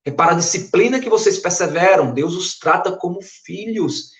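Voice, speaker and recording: Hoarse Brazilian Northeastern pastor, echoey room. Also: male voice, sits high, normal speed. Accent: Brazilian Portuguese, Northeast. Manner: earnest, emphatic preaching with rising stresses. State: hoarse, recovering from flu. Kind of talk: expository Bible sermon.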